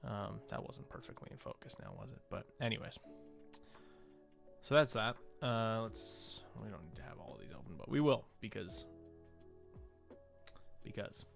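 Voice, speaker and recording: Low, gravelly man musing on a livestream. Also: lively intonation, normal speed, pauses often, faint music behind. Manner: musing, hesitant, thinking aloud in fragments. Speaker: streamer and visual artist. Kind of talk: casual livestream commentary while working on screen.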